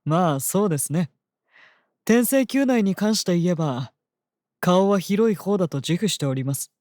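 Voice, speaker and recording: An actor playing a calm, very polite holy knight and devoted royal bodyguard in a fantasy audio drama. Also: mid-range voice, moderate pace, no echo, studio quality, lightly acted. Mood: composed, courteous. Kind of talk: Japanese audio drama acting.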